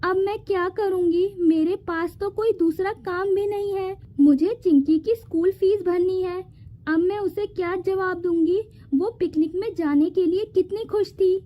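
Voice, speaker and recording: A woman voicing a kind, caring young working woman in an animated fairy tale, earnest and expressive. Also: very high voice, a little slow. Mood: worried, sad.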